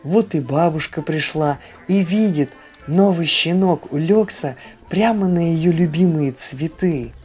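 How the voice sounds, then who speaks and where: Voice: mid-range voice, measured pace, few pauses, darkish tone, soft background music. A storyteller and channel host narrating a children's tale expressively.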